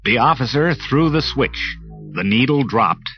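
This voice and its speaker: An old, dull cassette carries a man narrating over music. His lowish voice moves with lively, dramatic swings as he reads a scripted children's adventure. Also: measured pace. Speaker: storybook narrator.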